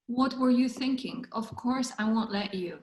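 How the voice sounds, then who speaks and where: A woman acts out a worried, protective grandmother at a script table read. She scolds and pleads in a slightly high voice, recorded in an echoey room. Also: normal speed, lightly acted. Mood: stern.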